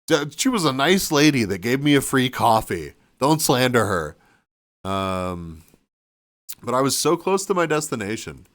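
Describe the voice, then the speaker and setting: A man chatting casually with his stream viewers, rambling and restarting sentences, sniffly and a bit hoarse. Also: mid-range voice, sing-song, unhurried, fairly bright tone, no room sound, studio quality. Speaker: livestreamer. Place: cabin room.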